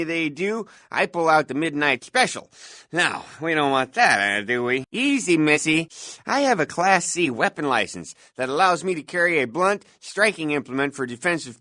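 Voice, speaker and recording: A self-important, folksy night-shift security guard for a video game. His delivery swings up and down with officious bluster and hesitant filler. The voice is bright and forceful, recorded very dry and close. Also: fairly high pitch, sing-song, normal speed, no echo. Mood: boastful, self-important. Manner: pompous, officious, hesitant filler-laden patter. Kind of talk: scripted video game voice lines.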